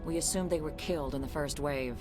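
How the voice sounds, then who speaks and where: A woman's voice: sits low, brisk, music in the background, close to an everyday voice. A woman playing a weary, determined middle-aged colony leader and worried mother in a video game, earnest and plain-spoken. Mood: weary, subdued. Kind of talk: video game dialogue acting.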